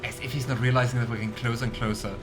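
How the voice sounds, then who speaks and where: A man's voice: medium pitch, little pitch movement, very fast. A man hosting a let's play, giving casual, amused gameplay commentary with rambling asides. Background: game music.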